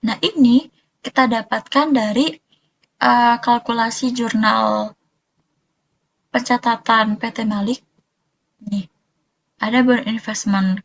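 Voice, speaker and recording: Young woman, fairly high voice, hesitant student presenter, slightly dull lo-fi sound. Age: young adult. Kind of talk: explanatory accounting presentation.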